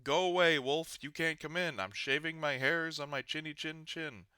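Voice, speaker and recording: Guy doing angry little pig from a storybook, yelling, curt and rude, loud bright voice pitched up. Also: normal speed, clean tone, dry recording, studio quality. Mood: defiant yelling. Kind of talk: storybook read-aloud character.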